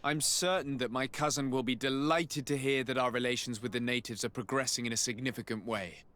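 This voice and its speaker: An actor playing a polite, resolute young nobleman diplomat in a video game, speaking in a formal, measured way. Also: medium pitch, fairly even intonation, fairly bright tone, natural voice. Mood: courteous, composed. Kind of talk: video game cutscene acting.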